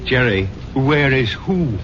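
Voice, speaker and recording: Casting: philosophical, caring bartender, lively intonation, conversational, dull noisy broadcast recording. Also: male voice, medium pitch, slow. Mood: concerned. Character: genial, philosophical male barkeeper, concerned for his regulars. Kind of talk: radio drama acting. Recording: muffled radio broadcast, noisy background.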